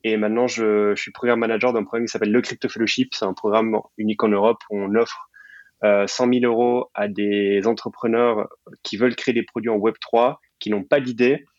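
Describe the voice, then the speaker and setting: Young man, low voice, informal interview guest, English jargon mixed in, dry slightly muffled recording. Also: level tone, fairly quick, dry recording. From Belgium and Sicily. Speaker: podcast guest, startup programme manager. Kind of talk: casual conversational interview.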